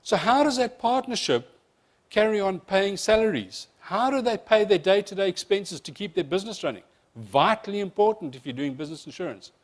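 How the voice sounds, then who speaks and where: A man from South Africa with a South African accent and a slight speech impediment, giving a fast-paced, joking insurance seminar presentation to a room of advisers. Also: a little high. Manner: fast-talking presenter, jokey asides. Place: seminar room with live audience.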